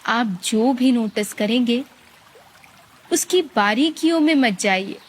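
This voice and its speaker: Woman, meditation guide, fairly high voice, very slow delivery, calm gentle instructions, long pauses.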